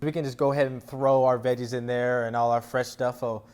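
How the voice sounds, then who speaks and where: A man's voice: medium pitch, little pitch movement, brisk, a little mellow, clear, resonant tone. A man giving an informal, playful cooking demonstration, instructing as he goes. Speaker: athlete cooking a dish as guest chef. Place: indoor kitchen.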